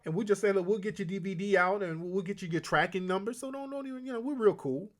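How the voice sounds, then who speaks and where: A man's voice: high-pitched, quick pace. Blunt, emphatic male talk-show host.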